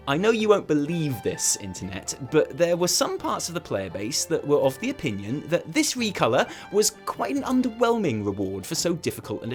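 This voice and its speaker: A man presenting scripted satirical gaming news as host, sarcastic and theatrical, with emphatic dramatic pauses. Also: on the high side.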